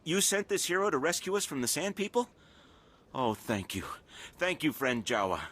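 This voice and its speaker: Animated, grateful rescued settler. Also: male voice, on the high side, moderate pace. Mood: relieved gratitude. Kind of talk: video game character dialogue.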